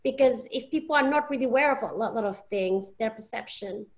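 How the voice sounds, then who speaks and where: High-voiced English woman, halting. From England. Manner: halting, many restarts and fillers. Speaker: guest. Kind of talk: casual podcast conversation.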